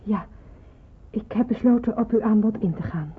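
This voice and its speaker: An actress playing an anxious, questioning young nurse in a Dutch wartime radio play, speaking hesitantly and deferentially. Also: female voice, medium pitch, normal speed, slightly rough. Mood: resigned, obedient. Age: young adult. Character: anxious, questioning seventeen-year-old nurse in wartime hiding. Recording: muffled, phone-like old radio recording.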